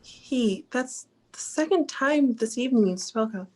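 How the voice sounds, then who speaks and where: A woman playing a steady, concerned confidante in a 1940s-style radio drama, speaking in a measured, earnest way. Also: fairly high pitch, low-quality recording. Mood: puzzled. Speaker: actor.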